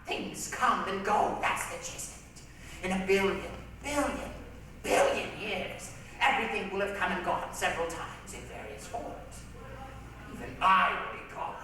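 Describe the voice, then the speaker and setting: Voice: medium pitch, expressive, measured pace, sharp tone. A performer in a readers' theatre playing an ancient, imperious male dragon, world-weary, mocking and condescending. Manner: condescending, dismissive, dramatically emphatic. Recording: echoey hall from far off, faint noise.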